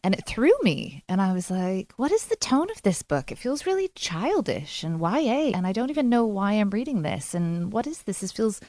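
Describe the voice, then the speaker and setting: A woman's voice: mid-range voice, very animated, moderate pace, hardly any pauses, mellow, no echo. A woman co-hosting a podcast, chatting casually and frankly about books with strong opinions. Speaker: book club podcast co-host. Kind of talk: casual book chat.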